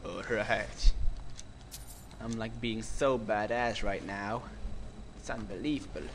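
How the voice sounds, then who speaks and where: A young man casually commenting on his own gameplay, goofy and rambling, with sudden silly sing-song outbursts and a voice going hoarse. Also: on the low side, slow, a bit bright, slight background noise. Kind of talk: casual let's play commentary.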